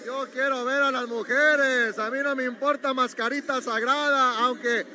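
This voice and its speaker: A man calls a live wrestling card from a gym arena, his voice pitched very high. He keeps pushing viewers to share and comment. Arena noise sits clearly under him. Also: fairly even intonation, normal speed, bright, forceful tone. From Mexico. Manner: promotional, urging viewers to share. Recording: noisy arena.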